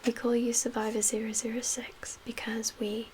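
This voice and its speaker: A woman speaking in a hushed, breathy whisper with measured pauses, performing an ASMR roleplay as a calm, guarded survivor-camp medical official. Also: fairly high pitch. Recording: audible background hiss and ambience.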